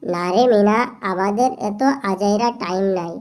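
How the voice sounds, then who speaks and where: A woman's voice: medium pitch, moderate pace, a little mellow, lightly acted. A woman voicing a scornful, dismissive young animal playmate in a children's cartoon.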